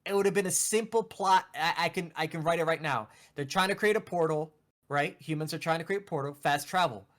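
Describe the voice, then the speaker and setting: A man chatting casually about games and movies as a podcast co-host, in an excitable, emphatic, slangy way. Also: a little high, fairly quick. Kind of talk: casual video game and movie chat.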